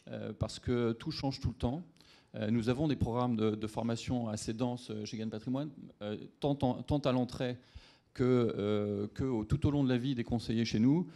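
Middle-aged man, executive at a conference, relaxed dark timbre, talking on a panel. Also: medium pitch. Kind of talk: panel discussion. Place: conference auditorium stage. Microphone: handheld microphone.

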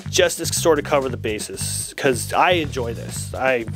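A man's voice: mid-range voice, expressive, a little slow, vocal fry, over music. A man in his forties explaining garage work step by step in a casual, detailed, conversational way. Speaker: host of a motorcycle build channel. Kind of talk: casual explanatory workshop talk. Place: garage workshop with foam-padded wall.

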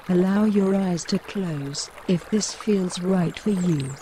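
A woman gently guiding a mindfulness meditation in measured short phrases, with pauses between them. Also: fairly low pitch, a little hiss or hum. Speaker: meditation guide. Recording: clear running stream water behind the voice. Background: trickling woodland stream.

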